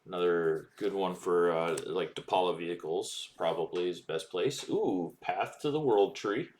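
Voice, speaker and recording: A man's voice: sits low, normal speed, darkish tone. A man casually commenting as he opens booster packs, reading card text aloud with plenty of ums and uhs. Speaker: card-opening streamer.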